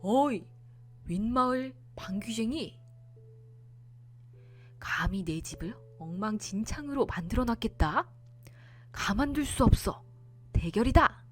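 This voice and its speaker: Woman putting on a loud, blustering indignant village man. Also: on the high side, lively intonation, takes it slowly, long pauses, faint music behind. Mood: furious challenge. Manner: blustery, huffing, old-fashioned exclamations. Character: indignant blustering village man, rival farting champion of folktale. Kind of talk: children's folktale character voice.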